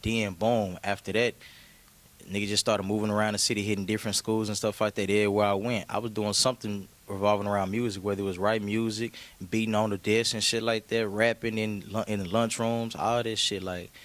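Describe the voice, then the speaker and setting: Laid-back young man, low voice. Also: little pitch movement, a little fast. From Memphis, Tennessee. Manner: laid-back, slangy, storytelling with frequent "you feel me". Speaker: rapper guest. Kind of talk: casual interview chat.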